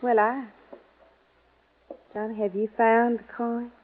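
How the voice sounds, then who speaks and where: An actress playing an unhappy, money-hungry wife in a languid, flirtatious 1950s radio drama style. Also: female voice, fairly high pitch, flat delivery, slow, phone-quality sound. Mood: resigned, pleading. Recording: band-limited old 1950s radio recording.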